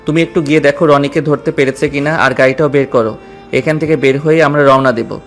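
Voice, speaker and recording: A man's voice: a little high, steady tone, fairly quick, faint music behind, lightly acted. A man playing a wounded but commanding hero in an audio story, firm and insistent. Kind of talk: dramatized audio story acting.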